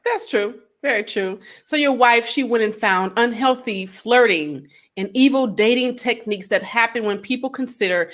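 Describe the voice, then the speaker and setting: A woman's voice: fairly high pitch, moderate pace, few pauses, a bit bright. A woman co-hosting a relationship talk show, teasing and laughing often, with casual slang. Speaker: co-host.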